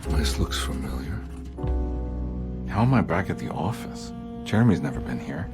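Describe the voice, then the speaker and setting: An actor playing a weary, troubled, brooding 1930s private detective in a video game. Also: male voice, extremely low pitch, lively intonation, moderate pace. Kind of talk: video game cutscene acting with brooding monologue. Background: music.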